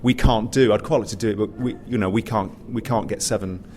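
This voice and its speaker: A man's voice: on the low side, fairly even intonation, fairly quick, a little mellow. British man chatting on a panel, faint noise. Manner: conversational, stop-start with repeated words. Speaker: panelist, pantomime writer and director. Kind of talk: informal panel discussion. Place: live panel in a theatre. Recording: faint background noise, live event.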